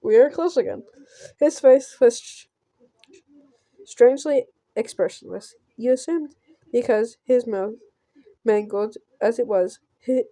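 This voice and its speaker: A woman narrates a visual novel as a casual gameplay host. Her delivery is unhurried and halting, with a slight fry and offhand asides. The recording is very dry. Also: fairly high pitch, mellow, dry recording. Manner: halting, stumbling read-aloud with offhand reactions. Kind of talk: casual let's play with read-aloud narration.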